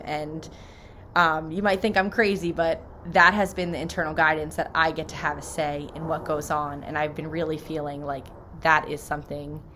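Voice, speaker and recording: An American woman with a slightly low voice talks like a vlogger sharing her own experiences. Her delivery is informal and sincere, mixing everyday storytelling with spiritual reflection. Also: fairly bright tone, a little hiss or hum. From New Jersey, United States. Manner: informal and earnest, frequent "like" and "so".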